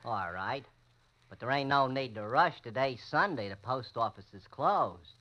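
An actor playing an easygoing, folksy apartment janitor with colloquial speech in a vintage radio drama. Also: male voice, mid-range voice, animated, a little slow, muffled recording, close to an everyday voice. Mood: easygoing, obliging. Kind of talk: 1940s-50s radio acting. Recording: noisy vintage radio recording.

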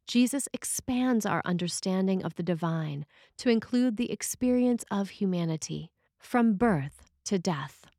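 A woman's voice: medium pitch, a little mellow, no echo, studio quality. A woman reading a written sermon as a preacher, in a scripted style.

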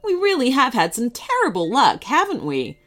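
A woman's voice: high voice, huge pitch swings, normal speed, pitched above the performer's own voice. Woman acting a kindly, motherly lady baker. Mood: rueful, consoling.

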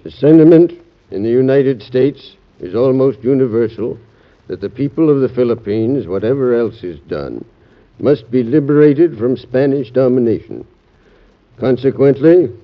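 An actor playing a solemn statesman dictating an official dispatch formally and deliberately in an old radio drama. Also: medium pitch, natural voice. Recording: muffled old radio recording.

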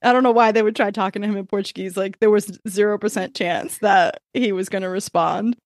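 A woman's voice: mid-range voice, brisk, dry recording, clean studio sound. A woman co-hosting a podcast, telling family stories with a lot of laughter. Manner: animated, laughing storyteller. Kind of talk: casual podcast chat.

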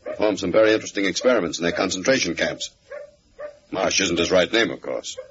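An actor playing an assured, ruthless crime boss in a 1940s radio serial. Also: male voice, deep voice, fairly quick, fairly bright tone, a little hiss or hum. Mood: confident, dismissive. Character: burly male crime boss, confident and ruthless. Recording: dull old radio recording.

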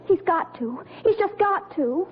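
A woman's voice: very high-pitched, expressive, unhurried, a little hiss or hum. A woman playing a lovesick, earnest teenage daughter in a 1940s radio comedy, wistful and melodramatic. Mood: lovesick, forlorn. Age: young adult. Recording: tinny vintage radio, studio audience behind.

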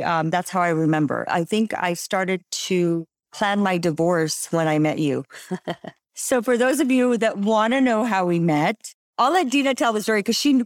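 A woman hosting a podcast in casual chat, bubbly and quick to laugh. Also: mid-range voice, no room sound, studio quality. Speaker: podcast host.

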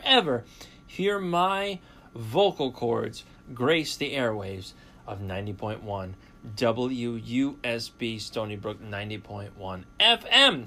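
A man's voice: a little high, bright, forceful tone. A man hosting a college radio talk show, delivering a boastful, sarcastic opinion monologue. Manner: boastful, sarcastic, self-mocking.

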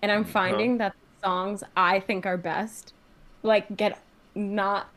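A woman's voice: little pitch movement, takes it slowly, fairly bright tone. A young woman, a singer-songwriter, chatting casually as an interview guest, full of "like" and acting out her own reactions. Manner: chatty, fillers, acts out her own reactions with rising pitch.

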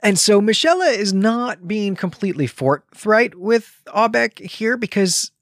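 A man's voice: high voice, animated, measured pace, no echo, studio quality. A man co-hosting a book podcast, recapping a story conversationally with wry asides. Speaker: podcast co-host.

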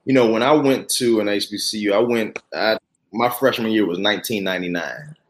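A man's voice: fairly low pitch, a little fast. A man in his forties hosting an interview in a conversational way. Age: 40s. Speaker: interviewer and host.

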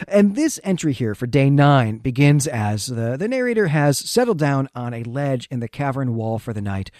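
A man's voice: mid-range voice, sing-song, moderate pace, darkish tone, no room sound, studio quality. A man co-hosting a literary podcast, talking through a story in a casual, chatty and enthusiastic way. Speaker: podcast co-host. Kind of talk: casual literary recap and discussion.

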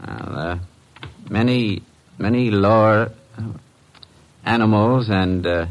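Evasive inspector, lowish pitch, uneasy and defiant, vintage radio drama. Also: male voice, slow pace. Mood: uneasy, halting. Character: evasive, defiant police inspector hiding his guilt. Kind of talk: 1940s-50s radio mystery acting.

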